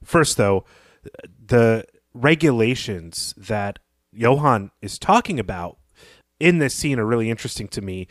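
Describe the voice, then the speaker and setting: A man's voice: medium pitch, expressive, unhurried, dry recording, clean studio sound. A man co-hosting a podcast in a conversational literary discussion style. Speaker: podcast co-host.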